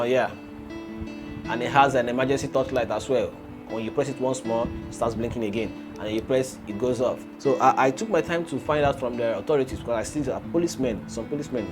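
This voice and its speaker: A man's voice: medium pitch, brisk. A man hosting a casual gadget review, explaining and demonstrating as he goes. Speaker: gadget reviewer and video host. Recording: music playing behind the voice.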